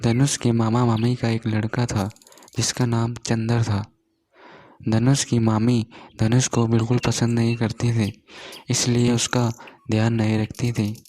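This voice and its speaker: A male narrator reads a scripted children's tale. His pace is slow and his medium-pitched voice stays level, with little rise and fall. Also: monotone, dark tone.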